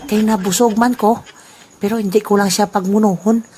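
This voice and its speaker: A woman's voice: medium pitch, fairly even intonation, normal speed, mellow. A woman voicing a sly old man who sells off a friend's things and chuckles at his scheme. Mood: scheming. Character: sly old man selling stolen goods. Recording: noisy amateur recording.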